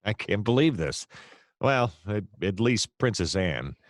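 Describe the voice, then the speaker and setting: A hapless American private eye from a noir radio play, acted by a man, with a deep voice that swings widely in pitch. He is wry and deadpan, easily flustered, and recorded close and dry. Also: takes it slowly, dry recording. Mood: exasperated, sardonic. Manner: wry, deadpan narration, hesitant and flustered in dialogue. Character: hapless, wry male private detective narrating his case. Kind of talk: noir detective narration and dialogue in an audio play.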